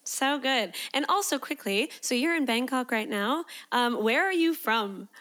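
A woman's voice: sits high, lively intonation, unhurried, a bit bright. Chatty, upbeat young woman podcast host. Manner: enthusiastic, chatty, laughs often.

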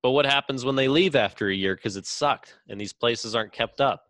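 A man's voice: medium pitch, fairly quick, a bit bright, no echo. A young man in a casual podcast debate, speaking as an opinionated co-host who swears freely.

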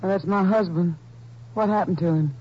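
An actress playing a bitter, worn-down rancher's wife in a 1950s radio drama, curt and resentful in manner. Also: female voice, on the low side, moderate pace, natural voice. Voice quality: flat, clipped, resentful. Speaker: rustler's widow. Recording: muffled, hissy old radio broadcast.

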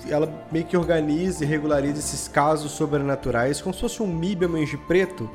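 A man's voice: fairly high pitch, a little fast, over music. A man with a Brazilian accent speaking as a podcast guest, explaining things in an informal, rambling way. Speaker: podcast guest, audio drama creator. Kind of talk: casual interview chat.

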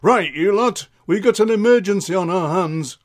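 A man's voice: sits high, lively intonation, moderate pace, pitched above the performer's own voice. A man voicing a flustered, bossy sergeant made of ice, barking urgently in a comic children's story. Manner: barking, urgent military delivery. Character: flustered bossy male icicle sergeant, blustering.

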